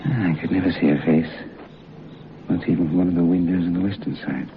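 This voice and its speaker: A gravelly, wry hotel guest. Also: male voice, deep voice, fairly quick. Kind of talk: old radio drama acting. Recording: dull old radio recording with hiss.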